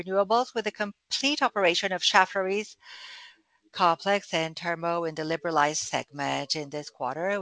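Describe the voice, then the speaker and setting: A hoarse male finance chief reads through quarterly figures in a formal, steady way with occasional fillers. The recording is dry and close, with no room sound. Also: fairly high pitch, no room sound, low-quality recording. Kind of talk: formal earnings presentation.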